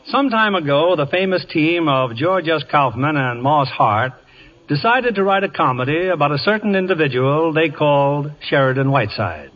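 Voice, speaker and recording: An American man presents a radio drama in 1950s fashion, heard on a dull old broadcast recording. His voice sits fairly high and moves with lively intonation. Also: normal speed.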